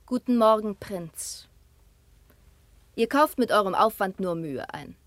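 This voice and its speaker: An actress playing a proud, steadfast young princess in a classic radio drama, formal and declamatory. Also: female voice, medium pitch, lively intonation, slow, no room sound. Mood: cool, scornful.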